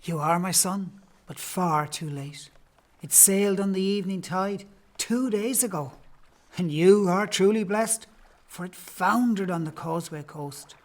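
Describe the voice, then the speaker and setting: A man's voice: high-pitched, a little slow. A man playing a kindly, solemn Irish priest in an audio play, speaking in archaic, declamatory phrases.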